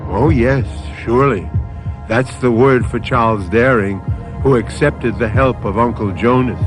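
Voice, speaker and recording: Slow, solemn male mystery host. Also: medium pitch. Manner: solemn, theatrical, rhetorical. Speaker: host and narrator. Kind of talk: dramatic scripted radio mystery narration.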